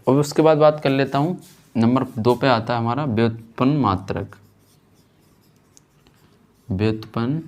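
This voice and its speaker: A male teacher explains a lesson as he writes. His voice sits at a middle pitch. He sets up questions, answers them himself and repeats key terms, often checking in after a point. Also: a little mellow. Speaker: physics teacher. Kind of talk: classroom lesson, explaining while writing on a board.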